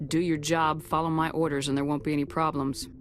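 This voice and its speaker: Female actor as authoritative space marine commander, low register, businesslike video game read, light score underneath. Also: flat delivery, fairly quick, soft background music, natural voice. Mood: stern, firm. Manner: firm, authoritative.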